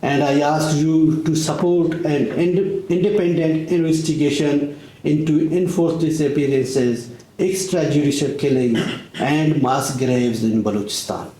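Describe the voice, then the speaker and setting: A man giving a formal prepared speech at a conference, measured and deliberate, pausing often. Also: a little high, warm tone. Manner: measured, deliberate delivery with long pauses. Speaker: Baloch activist speaker. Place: conference room in parliament. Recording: echoey conference room.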